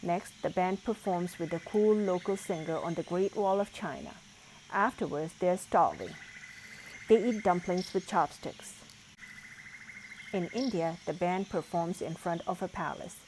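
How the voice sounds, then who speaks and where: A woman's voice: lowish voice, unhurried, darkish tone, slight background noise. A woman reading a children's picture book aloud as a storyteller.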